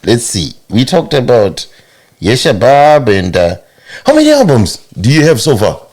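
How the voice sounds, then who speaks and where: A man's voice: medium pitch, wildly swooping pitch, normal speed, darkish tone. A man hosting an interview in casual chat, English as his second language, searching for words. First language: not English. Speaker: host.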